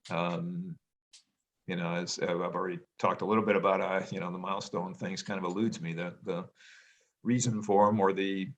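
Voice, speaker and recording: Low-voiced middle-aged man, halting musing. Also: flat delivery, slight background noise. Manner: hesitant, many ums and restarts, reflective; says he has a loud voice. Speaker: podcast co-host, parent. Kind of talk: casual family conversation.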